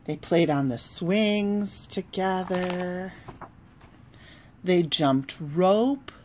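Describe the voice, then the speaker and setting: A woman reading a children's storybook aloud in an expressive, deliberate way, pausing between phrases. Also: on the low side, a little hiss or hum. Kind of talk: storybook read-aloud with asides.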